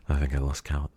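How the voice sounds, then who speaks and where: A man as a very deep, smug kidnapper. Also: mellow, breathy edge, full character voice. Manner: slow, teasing, drifting into whispers. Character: menacing, smug male kidnapper. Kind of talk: ASMR roleplay.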